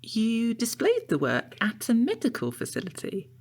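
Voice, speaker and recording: An actress playing a prim, patient art tutor in a comic audio drama, formal, measured and coolly evasive. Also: female voice, fairly high pitch, wildly swooping pitch, darkish tone. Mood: coolly probing.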